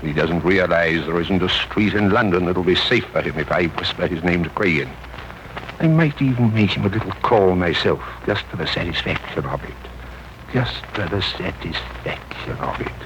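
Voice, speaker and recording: An actor playing a calculating, menacing criminal mastermind in a radio drama, measured, ominous and commanding. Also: male voice, deep voice, expressive, a bit bright. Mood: cold menace. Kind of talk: 1930s radio acting. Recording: muffled, noisy 1930s radio recording.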